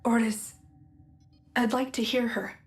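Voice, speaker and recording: A slow-spoken, resolute young warrior. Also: female voice, on the high side, flat delivery, noisy background. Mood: grim resolve. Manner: terse, determined, intense. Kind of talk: video game voice acting.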